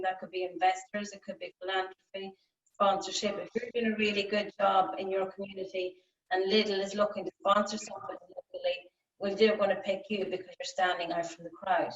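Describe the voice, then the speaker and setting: A woman's voice: mid-range voice, slight background noise. A woman talks through a workshop in a chatty, rambling way, full of ums and likes. She sits back from the mic in an echoey room. The video-call sound is dull. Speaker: workshop facilitator. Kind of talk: online workshop discussion. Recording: distant, roomy, lo-fi call audio.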